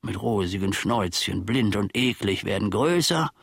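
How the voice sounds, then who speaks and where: An actor playing a grumbling, pedantic, world-weary manservant who philosophizes in a rambling, theatrical radio play monologue, with a gravelly, husky voice. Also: male voice, on the low side, expressive, dry recording, studio quality, heavily acted. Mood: morose, rambling. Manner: rambling, theatrical, pseudo-learned, halting.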